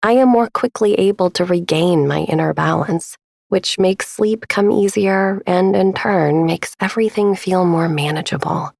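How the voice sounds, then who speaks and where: A woman hosting a sleep meditation podcast, speaking in a gentle, unhurried, intimate way as she narrates soothingly. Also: fairly low pitch, vocal fry, no echo, studio quality. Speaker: podcast host and meditation guide.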